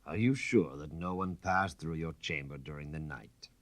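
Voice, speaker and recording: A man's voice: a bass voice, moderate pace, no room sound. A man voicing a methodical police commissary in a storybook reading, formal and pointed in his questioning. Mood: probing.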